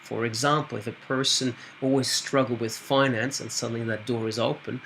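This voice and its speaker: A middle-aged man from Switzerland introducing his work as a spiritual medium in a calm, measured way with occasional hesitations. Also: medium pitch, creaky, slight background noise, low-quality recording. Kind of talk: direct-to-camera self-introduction.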